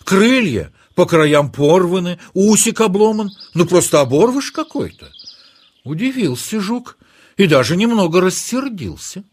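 A man's voice: high voice, big pitch swings, unhurried, breathy edge. A man narrating a children's story expressively, in a theatrical, playful, wondering manner.

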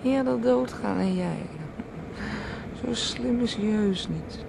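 A man's voice: sits high, takes it slowly, vocal fry, full character voice. An actor in a radio play playing a gloomy, fatalistic man who draws out his words. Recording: noisy, distant radio play recording.

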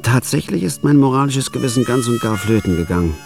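An actor narrating as a laid-off factory worker turned hired killer, with a dry, wry inner voice. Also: male voice, mid-range voice, expressive, fast, gravelly, music in the background. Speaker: narrator and lead. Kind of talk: radio drama narration.

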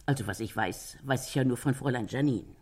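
A woman playing a talkative older Parisian landlady in a German radio crime drama, gossipy but sympathetic, chatting and retelling with a husky voice. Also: a bass voice, fairly quick, a little mellow. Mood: chatty, confiding. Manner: chatty storytelling, quotes others animatedly.

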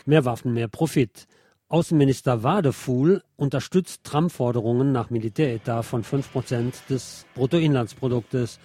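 A man's voice: medium pitch, moderate pace, music in the background. A man presenting a radio magazine, reading scripted news commentary aloud.

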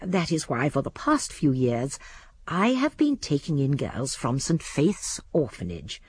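A genteel elderly English spinster, shrewd and primly judgmental. Her voice is very husky and mid-pitched, rising and falling expressively as she tells her story in a close, dry radio drama recording. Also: wildly swooping pitch, a little slow, a little mellow, no room sound, full character voice. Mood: prim, gossipy. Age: older. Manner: prim, precise storytelling. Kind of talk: first-person radio drama narration.

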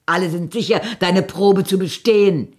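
An actress voicing a drink-worn, loyal maidservant in a German radio play, plaintive and full of reproach. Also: female voice, on the low side, normal speed, darkish tone, lightly acted. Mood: needling.

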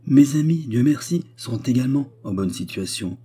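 A man's voice: mid-range voice, lively intonation, moderate pace, mellow, husky, pitched above the performer's own voice. A man voicing a kind, idealistic young lady hostess in an audiobook, speaking politely and with effusive, earnest concern. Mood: cheerful, emphatic.

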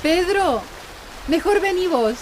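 A woman's voice: extremely high pitch, animated, slow, a little mellow, a little hiss or hum, full character voice, low-quality recording. An actress playing a tender, joyful village woman in love in a radio soap, with a Costa Rican accent. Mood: delighted. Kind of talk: radio soap opera acting.

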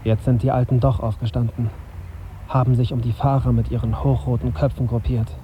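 An actor playing a young radio journalist, wry and understated, in a naturalistic radio drama. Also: male voice, mid-range voice, little pitch movement, fairly quick, muffled recording, slight background noise, lightly acted. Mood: detached, observant. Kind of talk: literary first-person narration and naturalistic dialogue.

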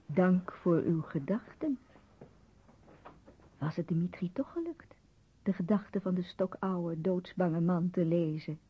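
A woman's voice: on the low side, measured pace, long pauses. A woman narrator telling an adventure story in scripted radio drama narration. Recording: muffled, slightly noisy radio recording.

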